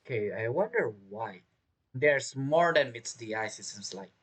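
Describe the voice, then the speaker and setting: A man's voice: medium pitch, expressive, measured pace, a bit bright, husky. A man giving casual playthrough commentary as a gaming streamer, chatty and musing aloud.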